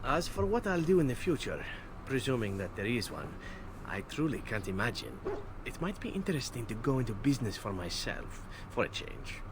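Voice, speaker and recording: An actor playing a suave, playful elven assassin in a video game, with a smooth, teasing, theatrical delivery. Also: male voice, medium pitch, very animated, moderate pace, noisy background. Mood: reflective, wry.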